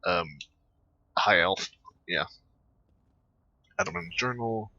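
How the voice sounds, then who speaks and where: A man giving casual game commentary and reading dialogue aloud in a halting, rambling way. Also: on the low side, very animated, gravelly, bright, forceful tone, dry recording, lo-fi sound. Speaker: gaming video host.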